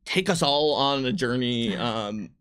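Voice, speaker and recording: A man's voice: mid-range voice, expressive, unhurried, fairly bright tone, clean tone, dry recording. Man hosting casually, very dry sound. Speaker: host and game designer. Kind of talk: casual livestream interview.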